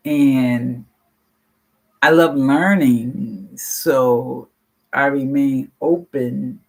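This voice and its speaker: A woman in her sixties with a deep, low voice, giving a rambling, frank personal share at a support meeting and swearing casually. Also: fairly even intonation, drawn-out words, poor audio. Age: 60s. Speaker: meeting participant sharing.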